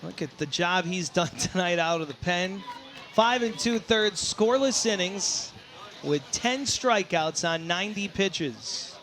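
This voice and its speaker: A man calling a baseball game live as play-by-play announcer, excitable and given to shouting on big plays. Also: sits high, a little slow, slight background noise. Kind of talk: live sports commentary. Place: outdoor baseball ballpark.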